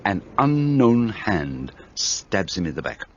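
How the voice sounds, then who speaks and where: A man's voice: fairly low pitch, big pitch swings, takes it slowly, exaggerated voice. An actor playing an elderly Belgian detective with a French-tinged Belgian accent, precise and theatrical, explaining his deductions. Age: older. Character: fussy elderly Belgian male detective, self-assured. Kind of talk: radio play acting. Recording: dull lo-fi recording, faint hiss.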